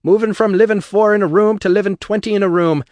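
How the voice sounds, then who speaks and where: A man's voice: sits high, fairly even intonation, fast, a little mellow, dry recording, pitched above the performer's own voice, lightly acted. A man reading an audiobook voices a fiery male agitator with an Irish brogue, bellowing to a crowd.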